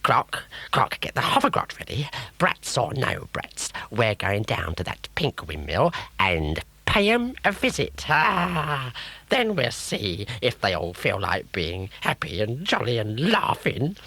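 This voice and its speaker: Guy doing a nasty villainess, bossy n gloating, drags words out, swoopy pitch, kids story. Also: very animated, creaky, sharp tone, breathy edge, no echo, exaggerated voice. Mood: gloating, commanding. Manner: drawn-out, gloating, commanding. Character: bossy, sour female child-hating villain.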